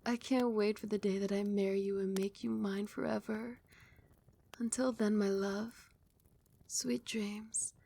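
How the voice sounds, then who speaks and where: A woman's voice: mid-range voice, steady tone, unhurried, gravelly. Woman playing a whispering vampire queen. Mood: tender. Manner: soft whispering, soothing. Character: doting female vampire queen, tender, possessive. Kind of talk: ASMR roleplay. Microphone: close mic.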